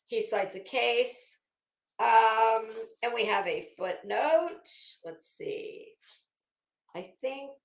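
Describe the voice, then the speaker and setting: Female commentator, fairly high voice, unhurried delivery, dryly mocking and emphatic, casual livestream style with dramatic readouts. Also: gravelly, reverberant. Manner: sardonic, theatrical, emphatic. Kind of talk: casual commentary with dramatic reading of a legal document.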